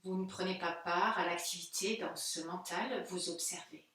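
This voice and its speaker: A woman, a yoga teacher giving calm, unhurried and soothing guided relaxation instructions. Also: lowish voice. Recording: very echoey room, distant microphone.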